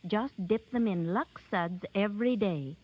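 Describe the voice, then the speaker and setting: A woman playing a gentle, kindly aunt giving advice in a 1930s radio advertisement. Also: medium pitch, a little slow, lightly acted. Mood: kindly, advising. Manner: gentle, instructive. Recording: muffled 1930s radio broadcast.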